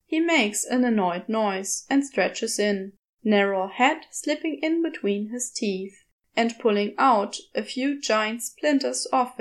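A woman narrates a piece of scripted fiction. Her voice is fairly high, and she reads at a very unhurried pace. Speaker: audiobook narrator.

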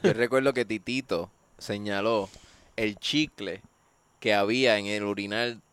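A man's voice: on the low side, steady tone, a little slow, a bit bright, clear, resonant tone, no room sound. A man co-hosting a podcast, bantering with crude jokes. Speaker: podcast co-host. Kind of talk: casual chat.

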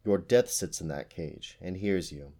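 A man's voice: deep voice, unhurried, gravelly, mellow, full character voice. Man voicing a solemn unicorn. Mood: grave. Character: proud, gentle female unicorn, calm and grave.

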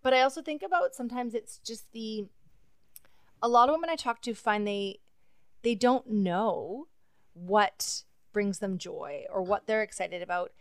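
A woman's voice: mid-range voice, a little slow, clear, resonant tone, dry recording, studio quality. A woman hosting a podcast, talking in a reflective, earnest way with fillers. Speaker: podcast host. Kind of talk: casual conversation.

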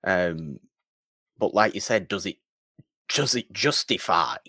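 A man co-hosting a film podcast, chatting casually in a halting, rambling way with emphatic repetition. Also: deep voice, expressive, pushed and bright, no room sound, studio quality.

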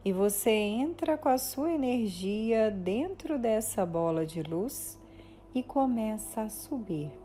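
Brazilian female meditation guide; soothing and relaxed delivery, slow phrases with deliberate pauses. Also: on the high side, slight background noise. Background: music.

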